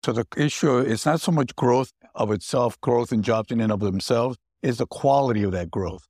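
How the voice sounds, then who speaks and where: A man's voice: mid-range voice, normal speed, darkish tone, slightly rough, no room sound, clean studio sound. Male economist who restarts his phrases. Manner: explanatory, with repeated restarts. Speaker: economist panelist. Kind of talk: panel discussion.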